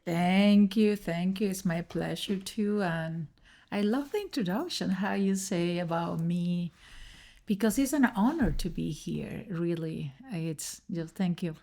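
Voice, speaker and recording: Middle-aged Venezuelan woman with creaky voice. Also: lowish voice, measured pace, a little mellow. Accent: Venezuelan Spanish. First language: Spanish. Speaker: podcast guest, educator. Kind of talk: personal storytelling in an interview.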